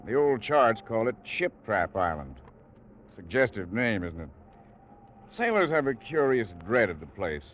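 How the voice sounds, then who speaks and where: A genial gentleman sportsman, hesitant and uneasy, in 1940s radio drama. His lowish voice moves widely in pitch. The recording is dull and a little noisy. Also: very animated, unhurried. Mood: uneasy, musing. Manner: hesitant, musing. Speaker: actor in a radio play.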